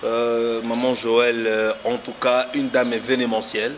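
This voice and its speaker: Male talk-show host, middle pitch, halting with lots of fillers, long reverb and light noise behind, for an interview segment. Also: level tone. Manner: hesitant, many fillers. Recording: noisy room with long reverb.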